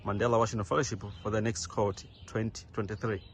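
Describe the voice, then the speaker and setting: A man's voice: lowish voice, level tone, quick pace. A man acting as interviewer, putting informal questions to his guests. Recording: faint background noise, ambient.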